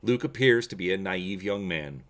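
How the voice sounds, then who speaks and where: Male channel host, lively varied pitch, expressive emphatic delivery, dramatic storytelling style. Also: moderate pace. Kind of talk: dramatic reading and explaining to viewers.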